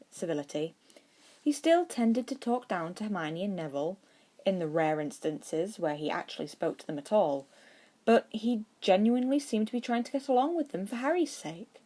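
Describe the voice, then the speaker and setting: A woman's voice: fairly low pitch, warm tone. A woman reading scripted fiction aloud as an audiobook-style narrator.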